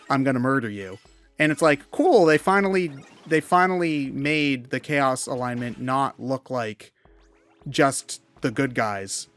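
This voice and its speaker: A man co-hosting a game commentary show, talking casually in an animated, opinionated rant and swearing freely. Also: medium pitch.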